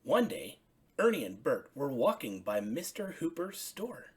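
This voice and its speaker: Woman storyteller, husky, highly animated pitch, deliberate phrasing, children's bedtime story.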